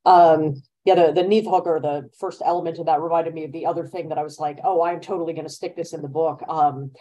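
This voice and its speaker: Mellow-voiced woman chatting on a webcam. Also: sits low, quick pace, poor audio. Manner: lively, laughing, speeds up when animated. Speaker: author and event moderator. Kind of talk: casual conversation on a video call. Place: bedroom at home. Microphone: laptop webcam mic on video call.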